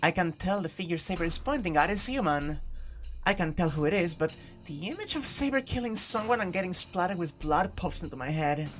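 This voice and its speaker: Lower-pitched woman reading a game aloud. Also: animated, a little fast. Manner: informal, sweary, jokey asides. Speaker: host of a game playthrough. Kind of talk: reading a visual novel aloud with casual commentary. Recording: music playing behind the voice. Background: game music.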